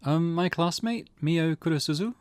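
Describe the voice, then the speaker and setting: A man voicing an anxious, earnest teenage schoolgirl with a hesitant, halting way of talking, for a visual novel game. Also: a little high, a little mellow, dry recording, close to an everyday voice. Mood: nervous.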